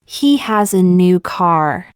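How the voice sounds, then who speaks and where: A woman reading short practice sentences aloud for learners, saying each one twice, slowly and carefully. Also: lowish voice, lively intonation, clear, resonant tone, no echo, clean studio sound. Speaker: English teacher.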